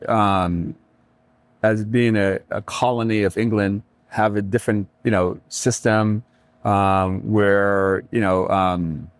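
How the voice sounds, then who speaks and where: A middle-aged man from Jamaica talking reflectively as an interview guest, pausing often mid-thought. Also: on the low side, fairly even intonation, clean tone, no room sound. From Kingston, Jamaica. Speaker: restaurateur and community leader, podcast guest.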